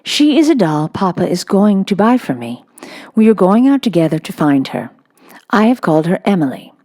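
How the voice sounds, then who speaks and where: A woman's voice: medium pitch, very animated, normal speed, a little mellow, pitched above the performer's own voice. A woman voicing a solemn, imaginative little girl from a storybook in an earnest, matter-of-fact way.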